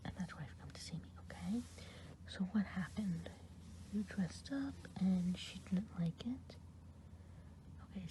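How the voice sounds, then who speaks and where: A woman voicing a kind, gossipy good witch in an ASMR roleplay, whispering close to the mic and rambling with gentle hesitations. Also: on the low side, slight background noise, lightly acted, low-quality recording. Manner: whispered throughout.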